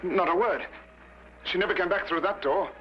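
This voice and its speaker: A man playing a nervous suspect with a slightly high voice, defensive and stammering, with bitterness underneath. It sounds like vintage crime drama on a dull old recording. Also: slight background noise, natural voice. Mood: defensive, flustered. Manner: halting, stammering. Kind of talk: vintage detective drama acting.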